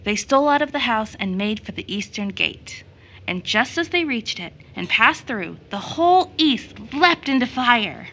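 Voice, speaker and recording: A woman's voice: fairly high pitch, sing-song, unhurried, pushed and bright, full character voice, rough recording. A woman acting as a storyteller in an audio drama, narrating a children's fairy tale expressively. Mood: storytelling.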